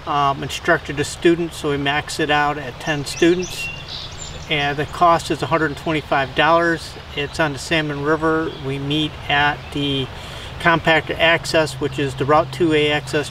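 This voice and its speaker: An older man, a fishing guide, gives a casual, rambling update to a camera outdoors beside a stream, with lots of fillers. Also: a little high. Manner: relaxed, rambling, with frequent fillers. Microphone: camera on tripod a few feet away. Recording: distant mic, outdoor noise.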